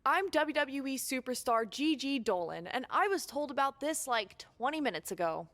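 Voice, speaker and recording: A woman's voice: sits high, expressive, normal speed, fairly bright tone. A woman playing a sardonic, unimpressed wrestler co-host in a video game, with dry, teasing delivery. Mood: dry presenting. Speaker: wrestler co-hosting a show. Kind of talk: scripted video game cutscene acting.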